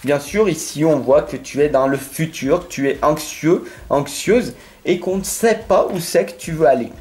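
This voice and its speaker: A man's voice: on the high side, moderate pace, a little mellow. A French-accented man giving a tarot card reading straight to the viewer, earnest and insistent, often checking in with "d'accord?". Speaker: tarot reader.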